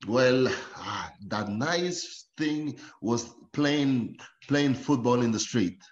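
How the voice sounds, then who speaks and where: Costa rican guy in his 30s, slow easy talk, laughs a lot, echoey dull audio. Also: mid-range voice. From Costa Rica. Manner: laughs often, relaxed storytelling. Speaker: professional footballer, guest. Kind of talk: casual interview chat.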